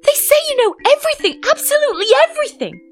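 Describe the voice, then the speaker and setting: A brave, hot-tempered little boy fish, put on by a woman reading a storybook aloud. His voice is shrill and far higher than a normal voice, coming out in quick, eager shouts. Soft music plays underneath. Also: huge pitch swings, full character voice. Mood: excited.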